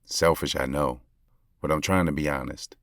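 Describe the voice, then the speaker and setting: A man's voice: very deep voice, steady tone, normal speed, dry recording, clean studio sound. A male actor with an American accent playing a lovestruck, regretful basketball coach who confides in listeners in slangy, conversational audio drama narration. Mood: earnest, confiding. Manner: slangy, confessional, intimate. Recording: music playing under the voice. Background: music.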